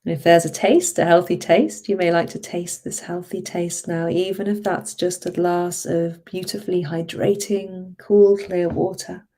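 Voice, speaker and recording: A woman speaking as a hypnotherapist, leading a guided relaxation in a soothing, encouraging manner with drawn-out phrases. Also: on the low side, little pitch movement, mellow. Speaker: hypnotherapist guiding a relaxation session.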